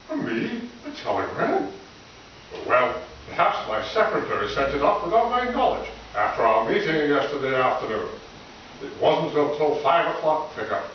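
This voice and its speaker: A man playing a formal, brisk school board official in old-time radio comedy style. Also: on the high side, lo-fi sound. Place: live stage performance in a reverberant room. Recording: echoey distant stage recording with hiss.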